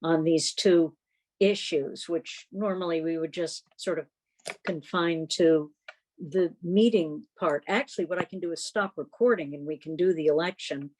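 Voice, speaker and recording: A middle-aged woman chairing a club meeting on a video call, talking casually in a halting, rambling way. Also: low voice, darkish tone, rough recording.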